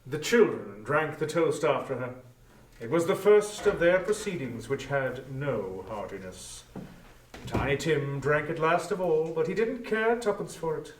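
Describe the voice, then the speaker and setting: Male narrator for a scripted literary reading, slightly high voice, standing a bit back from the mic. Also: animated, a little slow, slight background noise. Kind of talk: scripted dramatic storytelling narration. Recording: roomy echo, distant from microphone.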